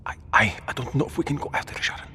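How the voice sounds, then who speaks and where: Jittery anxious guy, talks fast, stammers, big echoey space, audio drama. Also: bright, forceful tone, whispered. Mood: nervous, doubting. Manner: nervous, stammering, apologetic. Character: anxious, panicky male companion in a disaster. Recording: reverberant garage space, noisy background.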